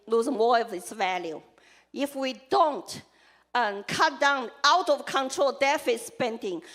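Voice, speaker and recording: A woman's voice: high-pitched, a bit bright. A woman from China with a Chinese accent, giving an earnest campaign speech as a congressional candidate and listing her points in short, emphatic phrases. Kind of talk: campaign speech to a live audience.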